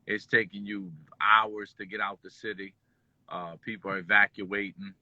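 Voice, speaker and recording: A man born in Kuwait and raised in Detroit, telling his life story as an interview guest in a relaxed, rambling way full of fillers. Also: fairly low pitch, normal speed, no echo, highs cut off. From born in Kuwait, raised in Detroit and New Orleans. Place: at home, just pulled into driveway.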